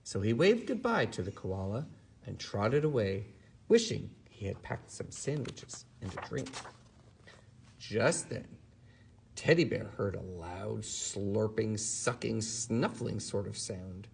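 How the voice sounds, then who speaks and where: Husky, gravelly man telling a bedtime story. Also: fairly low pitch. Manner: expressive, drawn-out emphasis on words. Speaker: storyteller reading to a child.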